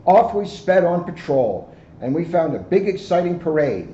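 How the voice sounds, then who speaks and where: A police officer, a man, reading a storybook aloud to children in an expressive, deliberate way. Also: a little high, highs cut off. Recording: roomy, band-limited, faint background noise.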